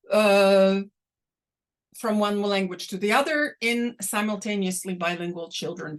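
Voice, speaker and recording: A woman whose first language is Dutch, speaking deliberately and a little slowly as an invited academic answering questions on a video call. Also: medium pitch. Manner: deliberate, slightly slowed and exaggerated. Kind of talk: online academic talk, Q&A.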